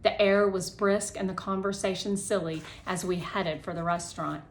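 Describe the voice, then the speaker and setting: A woman narrating a children's story as a read-aloud host, in an expressive way, acting out the dialogue. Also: medium pitch, normal speed, slight background noise. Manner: animated, acts out dialogue.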